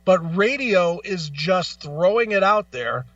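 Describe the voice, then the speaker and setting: A man's voice: high-pitched, animated, takes it slowly, a bit bright, no room sound, distant mic, muffled recording. A man hosting a talk show in an opinionated rant, blunt, profane and argumentative, repeating phrases for emphasis. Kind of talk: opinionated music talk, ranting.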